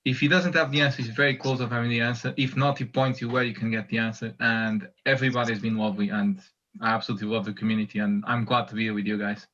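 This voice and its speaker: A man's voice: medium pitch, fairly even intonation, fast, a bit bright, lo-fi sound. A man from Portugal with a Portuguese accent, chatting enthusiastically on a video call as he introduces himself to a community meetup. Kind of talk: casual video call chat.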